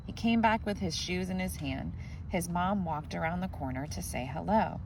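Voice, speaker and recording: A woman reading a children's picture book aloud in a gentle, expressive way. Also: on the low side, normal speed, background noise. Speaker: storybook reader.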